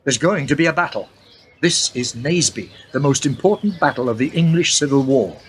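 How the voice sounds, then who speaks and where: A man's voice: medium pitch, expressive, a little fast, slight background noise, natural voice. A man playing a wry, knowing guide who explains history in a radio play. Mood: explaining. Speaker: time-travelling guide.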